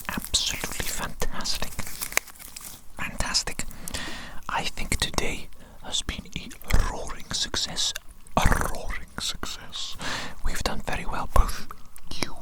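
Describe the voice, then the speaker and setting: A woman playing a doting, eccentric evil doctor in a whispered ASMR medical roleplay, breathy and effusive, often repeating phrases. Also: slow, lightly acted, poor audio. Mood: delighted, approving. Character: doting, eccentric evil doctor fussing over a tiny specimen.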